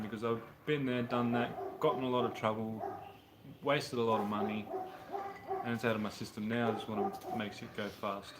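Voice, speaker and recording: A man's voice: mid-range voice, noisy background. Laid-back Australian man in his thirties. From Brisbane, Australia. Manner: laid-back, rambling, slangy. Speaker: car workshop livestream host. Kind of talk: casual livestream chat answering viewers. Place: home mechanic workshop, car on a hoist.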